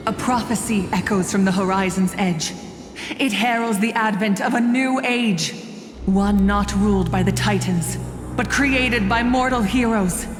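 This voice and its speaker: An actress playing a proud, righteous young warrior in a video game, declaiming in a commanding, formal way. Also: female voice, mid-range voice, unhurried, close to an everyday voice. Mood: resolute. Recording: echoey hall, music behind.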